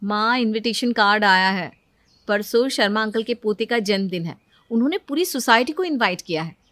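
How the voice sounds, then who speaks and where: A woman's voice: medium pitch, expressive, moderate pace, fairly bright tone, a little hiss or hum, close to an everyday voice. A woman voicing a put-upon, envious elder daughter-in-law in a cartoon story, plaintive and pointed. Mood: eager, nagging. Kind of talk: Hindi cartoon story dialogue.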